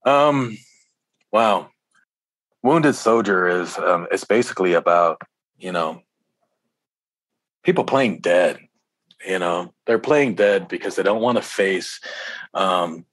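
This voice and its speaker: Male musician interviewee, deep husky voice, halting and rambling, reflective, dry close recording. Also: fairly bright tone, no echo, poor audio. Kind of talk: casual reflective interview talk.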